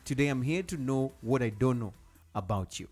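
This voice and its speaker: Halting man hosting a radio interview. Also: medium pitch, expressive, darkish tone, faint music behind. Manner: halting, repeats words and restarts often. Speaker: radio show host. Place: radio studio.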